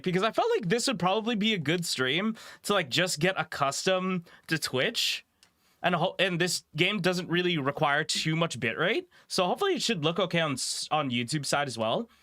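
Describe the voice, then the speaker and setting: A man with a raspy voice chatting casually and rambling in a self-deprecating way as he livestreams a game. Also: high voice, bright, forceful tone, no echo, studio quality. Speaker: livestreamer, VTuber host. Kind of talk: casual gaming stream chat.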